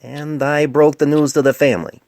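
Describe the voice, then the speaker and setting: Man acting young male pony medical resident, defensive, exasperated, smart-aleck, raised pitch, dry close storybook recording. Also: little pitch movement, moderate pace, a little mellow, dry recording, lightly acted.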